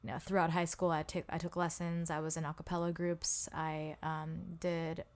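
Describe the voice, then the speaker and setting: A woman's voice: deep voice, little pitch movement, normal speed. A young woman, a singer and songwriter, chatting as a podcast guest, with many ums and likes and easy laughs. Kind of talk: casual interview chat.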